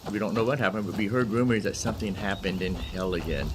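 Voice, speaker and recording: A man's voice: low voice, fast, background noise. A man giving casual, rambling gameplay commentary as a streamer, often repeating his phrases. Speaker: gaming streamer. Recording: music behind the voice.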